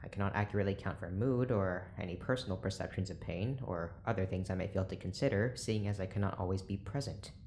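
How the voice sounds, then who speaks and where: A cold prison neurology doctor with a lowish voice. He speaks in clipped, formal phrases, officious and curt, as in an audio drama. A faint noise sits behind him. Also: fast, hardly any pauses, a little mellow. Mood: impatient, curt.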